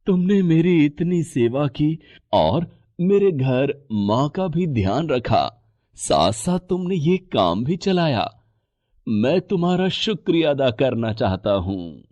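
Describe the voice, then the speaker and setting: A man playing a gentle, grateful husband in a moral story, speaking earnestly. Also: on the high side, big pitch swings, slow, no echo, dull audio.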